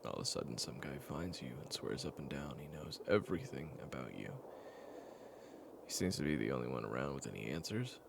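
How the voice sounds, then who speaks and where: A man playing a weary, patient caretaker in an intimate audio roleplay, speaking in a hushed, hesitant way. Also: animated, fairly quick, noisy background, exaggerated voice. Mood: weary, sympathetic. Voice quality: very low gravelly voice. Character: weary, patient man caring for an amnesiac companion, retelling their past.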